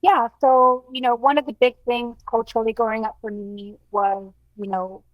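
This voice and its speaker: A woman's voice: a little high, flat delivery, moderate pace, no room sound, lo-fi sound. A woman from Miami, a nutrition coach guesting on a podcast, speaking earnestly and reflectively with many you-knows and ums. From Miami, United States. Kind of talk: podcast interview.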